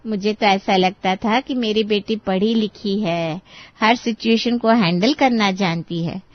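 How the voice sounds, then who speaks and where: A woman's voice: medium pitch, measured pace, dry recording, highs cut off, natural voice. A woman playing a feisty, teasing middle-aged mother in a dramatized story, scolding and encouraging her grown daughter. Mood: scolding pep talk.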